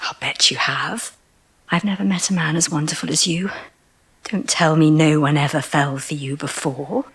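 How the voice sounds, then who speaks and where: Casting: eager young sweetheart, audio drama. Hushed and breathy, gushing, coaxing, soft-hearted. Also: female voice, low voice, fairly even intonation, normal speed, full character voice. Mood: concerned, tender. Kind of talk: intimate audio drama acting.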